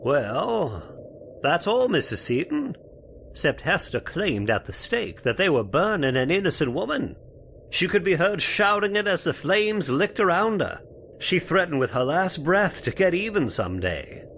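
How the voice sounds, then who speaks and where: A man's voice: fairly high pitch, big pitch swings, unhurried, fairly bright tone, a little hiss or hum, heavily acted. A man playing a folksy, spooked cemetery caretaker in old-time radio horror style, with rustic turns of phrase. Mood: ominous. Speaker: voice actor.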